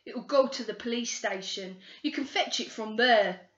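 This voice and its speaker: A woman voicing a gruff middle-aged male detective who speaks in blunt, impatient commands. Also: a little high, normal speed, natural voice. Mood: brusque. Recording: roomy, slightly dull recording.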